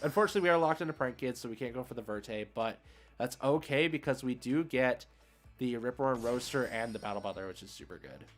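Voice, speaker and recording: A man's voice: mid-range voice, quick pace. A man hosting a livestream and giving casual, slangy commentary on a card game as he thinks aloud.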